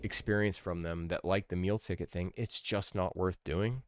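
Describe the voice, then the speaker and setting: A man's voice: low-pitched, fairly even intonation, normal speed. A man in his thirties giving casual playthrough commentary as a channel host, thinking aloud as he plays. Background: game music.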